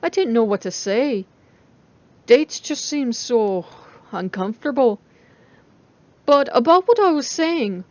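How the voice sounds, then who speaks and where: A woman's voice: fairly high pitch, lively intonation, slow, long pauses, no room sound, dull audio, voice pushed up. A woman voicing an awkward, evasive young college woman with a casual, hesitant manner in a story reading.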